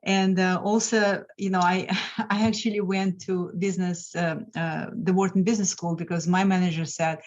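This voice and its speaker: A middle-aged or older woman from Lithuania, answering as an interview guest in a halting, hesitant way. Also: on the low side, level tone, darkish tone. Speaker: interview guest, financial mentor. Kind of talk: conversational interview. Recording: slightly dull, lo-fi audio.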